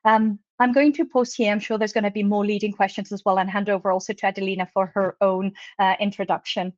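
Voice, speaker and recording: A woman speaking on a webinar panel, friendly and fluent, often pausing on small fillers. Her voice comes over a dull video-call line in a dry, close room. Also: mid-range voice, little pitch movement, a little mellow, no room sound. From Luxembourg. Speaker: HR recruitment officer, webinar panelist. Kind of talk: online panel talk.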